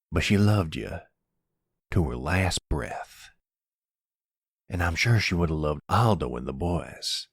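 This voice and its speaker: A man voices an older father and mob boss in an intimate audio roleplay. The voice is very low and slow, earnest and gentle with a regretful, reassuring air. It sounds close and dry. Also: no echo, heavily acted. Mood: regretful, tender. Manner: measured, fatherly, earnest, soft-spoken. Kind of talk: intimate ASMR roleplay acting.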